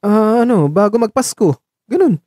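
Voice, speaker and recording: A man's voice: sits high, animated, moderate pace, dark tone, no echo, studio quality. A man with a Filipino accent acting a devoted, protective young man in a radio drama, reassuring his lover with affectionate mock scolding. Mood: playful, earnest.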